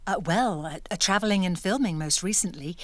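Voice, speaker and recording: A woman's voice: fairly low pitch, a little fast, no room sound, natural voice. A woman playing an articulate, confident actress at an audition, polite but pointedly questioning, in naturalistic audio drama. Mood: chatty. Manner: polite, hesitant fillers, then pointedly questioning.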